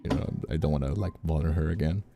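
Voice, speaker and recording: A man's voice: a bass voice, quick pace, over music. A man streamer talking casually on a livestream, rambling and emphatic, repeating phrases as he goes, close on a studio microphone. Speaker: streamer and host. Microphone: close studio condenser microphone.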